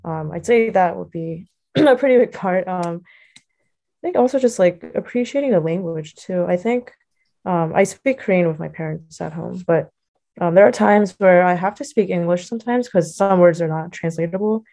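A woman's voice: lowish voice, normal speed, gravelly, dark tone. A young adult woman with an American accent, giving casual, reflective interview answers in a hesitant, loosely phrased way full of filler words. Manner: hesitant, loosely phrased, heavy use of like and you know. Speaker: interview guest, college student.

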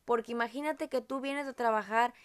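A woman's voice: fairly high pitch, fairly even intonation, fairly quick. A woman from Monterrey with a Monterrey Mexican accent, hosting a casual podcast chat in a chatty, rambling way, fond of diminutives. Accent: Monterrey Mexican Spanish. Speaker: podcast host.

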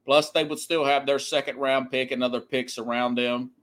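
A man's voice: medium pitch, fairly even intonation, normal speed, a bit bright. A man hosting a sports podcast, giving an opinionated, conversational monologue and repeating phrases for emphasis.

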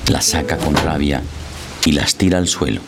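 A man's voice: rumbling low, moderate pace. A man narrating a radio drama as a scripted storyteller, describing the scene. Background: loud music.

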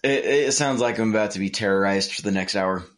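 A man's voice: fairly low pitch, a little fast. A man chatting casually in an easygoing way, acting out bits of dialogue as he tells anecdotes. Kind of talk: casual chat.

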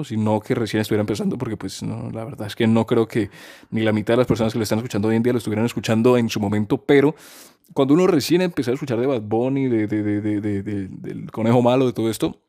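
A man's voice: medium pitch, mellow. A man, talking casually and at length about music, rambling with many restarts and fillers. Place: home during a power cut.

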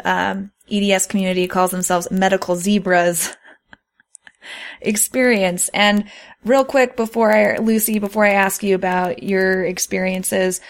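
A woman speaking as a podcast host in a conversational interview style, asking and explaining. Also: mid-range voice.

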